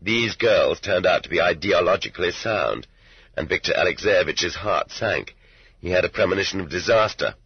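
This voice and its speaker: A man reading literary prose as an audiobook narrator, in a measured yet expressive storytelling manner. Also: sits low, slightly rough. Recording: dull lo-fi roomy cassette audiobook.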